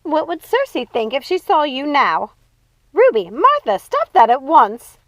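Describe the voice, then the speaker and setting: A woman voicing a bossy, excitable witch sister in a storybook, emphatic and exasperated. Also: high voice, a little slow, dry recording, voice pushed up, full character voice.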